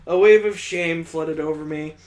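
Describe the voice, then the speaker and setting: A man's voice: on the high side, normal speed, a little mellow. A man hosting a gaming video, reading a visual novel aloud expressively with casual asides.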